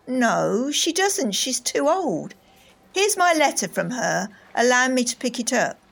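A woman playing a calm, sly shopper. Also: sits high, unhurried, vocal fry, breathy edge, full character voice. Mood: polite. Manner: calm, polite, matter-of-fact. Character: cool sly female shopper, forties, shoplifter.

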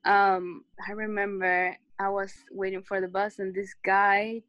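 A woman sharing personal experiences in a group discussion, in a casual storytelling way with wry, animated quotes. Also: medium pitch, unhurried, no echo, muffled recording.

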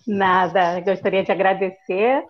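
A woman's voice: mid-range voice, fairly even intonation, measured pace. Chatty Carioca woman, lo-fi sound. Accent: Carioca Brazilian Portuguese. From Brazil (Rio de Janeiro). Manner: chatty, many filler tags. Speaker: podcast guest, language teacher. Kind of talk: casual podcast chat. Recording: dull lo-fi sound.

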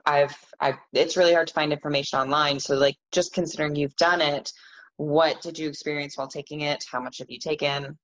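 A woman with a raspy voice interviewing a guest in a relaxed, questioning way. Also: deep voice, fairly quick, muffled recording. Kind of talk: casual interview.

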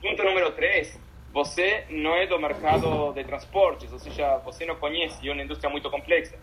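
A man's voice: on the high side, fairly even intonation, a crowd in the background, rough recording. A man from Argentina, a native Spanish speaker, answering an interview in Portuguese with an Argentine accent, listing his points one by one. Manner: lists points one by one, halting. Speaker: company founder interviewee.

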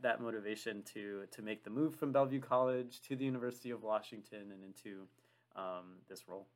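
A man co-hosting a podcast who talks in a halting way, repeating words and using many fillers. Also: medium pitch, fairly bright tone. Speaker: podcast co-host. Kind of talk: casual podcast chat.

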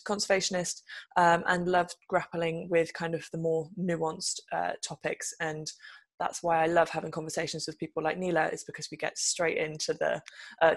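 A woman's voice: fairly low pitch, gravelly. Chatty woman podcast host. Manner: friendly, chatty, many ums. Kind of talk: casual podcast hosting.

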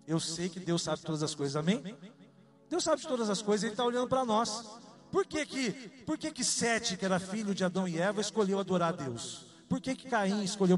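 A man from Salvador, Bahia, preaching a conversational sermon and often asking his listeners questions. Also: high-pitched. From Salvador, Bahia, Brazil. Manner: conversational, asks the audience questions.

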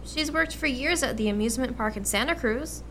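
A woman playing a brisk, patient American mother in a radio play, gently coaxing in a motherly way. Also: high voice, a little hiss or hum.

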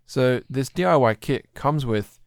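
A man hosting a podcast, chatting casually and rambling a little, clearing his throat often, his voice slightly throaty. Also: mid-range voice, lively intonation, a little slow. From Australia. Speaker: podcast host. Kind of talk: casual chat about keyboards.

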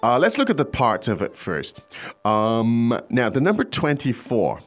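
Lively-voiced man teaching in a podcast. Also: medium pitch, unhurried. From foreign to China. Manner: relaxed, explanatory, many hesitations. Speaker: language teacher host. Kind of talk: podcast language lesson.

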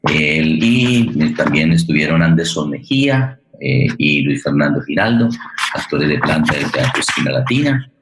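Very deep-voiced man hosting. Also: big pitch swings, moderate pace, creaky, noisy background. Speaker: host of a radio theatre forum. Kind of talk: spoken presentation and thanks. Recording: roomy, echoey sound.

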